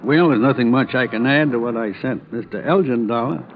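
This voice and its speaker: An actor playing an easygoing American police sergeant in 1950s radio drama, casual, clipped and plain-spoken. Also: male voice, mid-range voice, a little fast, lightly acted. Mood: easygoing, matter-of-fact. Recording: muffled old radio recording.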